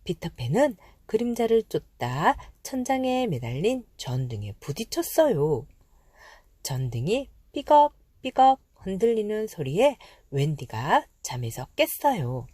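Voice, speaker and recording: A woman narrating a children's storybook from a script, with expressive, dramatized phrasing for young listeners. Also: mid-range voice, measured pace, slightly rough, no room sound.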